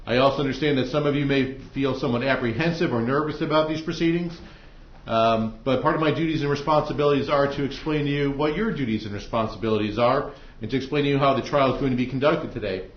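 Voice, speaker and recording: A man with an American accent speaking as a judge, formally addressing jurors with halting hesitations. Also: medium pitch. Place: indoor courtroom. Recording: echoey courtroom, dull muffled audio.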